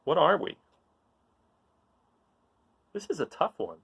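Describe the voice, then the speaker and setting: A man reading a children's picture book aloud in an informal, expressive way, putting questions to young listeners and encouraging them. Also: fairly high pitch, takes it slowly, breathy edge. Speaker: read-aloud storyteller.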